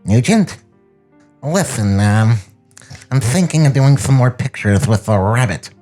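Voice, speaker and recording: A man acting a put-upon, halting cartoon director with a husky voice and a grudging, exasperated manner at a table read. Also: mid-range voice, animated, warm tone, soft background music, close to an everyday voice.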